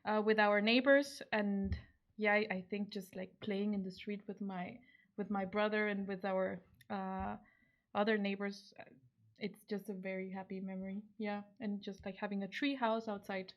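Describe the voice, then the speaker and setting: Young Mexican woman, mid-pitched, chatting. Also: little pitch movement, moderate pace, lo-fi sound. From Guadalajara, Mexico. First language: Spanish. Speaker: guest. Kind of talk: casual interview chat.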